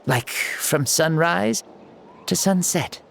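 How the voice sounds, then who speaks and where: A man's voice: on the high side, expressive, slow, creaky, a bit bright, a little hiss or hum, heavily acted. An actor playing a playful, boastful ancient warrior reborn in a boy's body in a video game, chatty and theatrical. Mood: breezy, playful. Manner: theatrical, chatty, jokingly grandiose.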